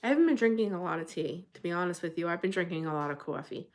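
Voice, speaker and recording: A woman from New Jersey with a Jersey accent, casually chatting on a livestream from her apartment desk and reacting to viewer comments, her nose running from a cold. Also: very fast. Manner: chatty, rambling, reacts to chat with sudden high exclamations. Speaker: livestream host.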